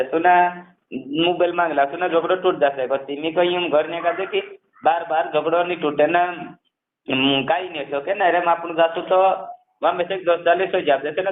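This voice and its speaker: A man's voice: a little high, fairly even intonation, a little fast, a little hiss or hum. A man in a casual phone conversation, explaining things at length and repeating himself, on a narrowband call recording.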